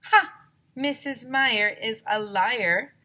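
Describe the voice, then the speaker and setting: A woman voicing an excitable, suspicious third-grade boy from a storybook, insistent and conspiratorial. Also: fairly high pitch, very slow, pitched above the performer's own voice, heavily acted. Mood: alarmed, accusing.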